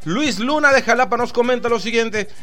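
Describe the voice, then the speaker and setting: High bright chatty Mexican man. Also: a little fast. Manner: chatty, joking, rambling shout-outs. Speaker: online radio host. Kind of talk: casual live radio chat with greetings. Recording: faint music in the background.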